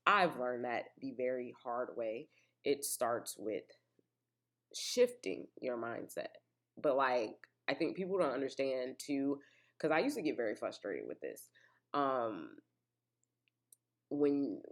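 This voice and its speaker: A woman hosting her own podcast in a casual, chatty way, full of asides to listeners and still a little congested. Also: rumbling low. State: slightly congested with a cough. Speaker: podcast host. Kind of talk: casual solo podcast chat.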